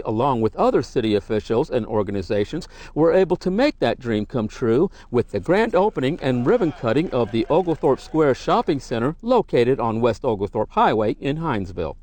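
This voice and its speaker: A man's voice: fairly high pitch, darkish tone, a little hiss or hum. A man reading scripted local broadcast news as a reporter.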